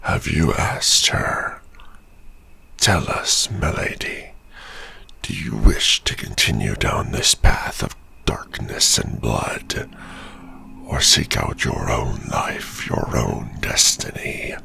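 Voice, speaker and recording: A man playing an ancient, sardonic werewolf veteran in an ASMR fantasy roleplay, with a gravelly growl and slow, theatrical delivery. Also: a bass voice, very animated, sharp tone, a little hiss or hum, exaggerated voice. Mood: solemn. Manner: slow, deliberate, theatrical speech.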